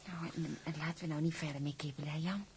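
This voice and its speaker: An actress playing a young sailor's wife who is worried and sharp-tongued, in a radio play. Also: female voice, sits low, steady tone, fast, very noisy, close to an everyday voice. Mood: pleading. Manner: pleading and scolding, emotional.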